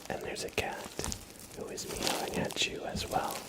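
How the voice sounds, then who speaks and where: A man hosting an ASMR livestream in a gentle, hushed voice that often drops into a whisper, close to the microphone. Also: moderate pace. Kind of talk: ASMR, soft-spoken and whispered livestream chat.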